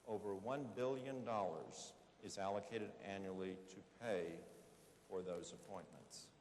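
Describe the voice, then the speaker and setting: A man giving formal opening remarks in a large hall, speaking in measured short phrases with pauses. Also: lowish voice, darkish tone. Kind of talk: formal prepared speech. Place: large hall at a public event. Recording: echoey hall, background noise.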